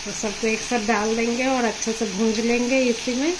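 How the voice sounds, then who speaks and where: A woman talking warmly to her viewers while she cooks in an echoey home kitchen. Her voice sits a little high. A pan sizzles and fries loudly the whole time, so it is a home-video recording with plenty of kitchen noise. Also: steady tone, moderate pace. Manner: addresses viewers as friends, laughs often while cooking. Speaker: cooking vlog host. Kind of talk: chatty cooking vlog narration to viewers.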